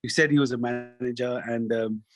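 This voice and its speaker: A man's voice: mid-range voice, normal speed. Chatty man telling stories. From England. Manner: animated storyteller, laughs often, many fillers. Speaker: guest singer. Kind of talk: casual interview chat.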